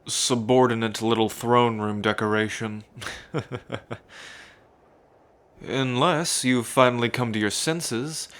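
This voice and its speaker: A man's voice: medium pitch, fairly bright tone, clean tone. A man playing an arrogant, sadistic would-be emperor in an ASMR roleplay, with a pompous, gloating manner. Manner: pompous, gloating, with frequent smug chuckles. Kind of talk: ASMR roleplay villain monologue.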